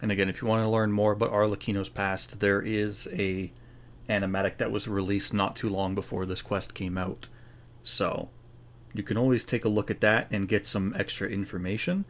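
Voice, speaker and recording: A man's voice: fairly low pitch, level tone, moderate pace. A man giving casual playthrough commentary as a gameplay streamer, with wry muttered asides.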